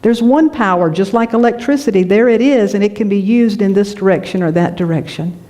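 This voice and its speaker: A woman from North Carolina, a minister giving a lively, conversational sermon in a church, laughing often as she tells stories. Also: mid-range voice, brisk. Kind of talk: sermon with storytelling. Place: church sanctuary.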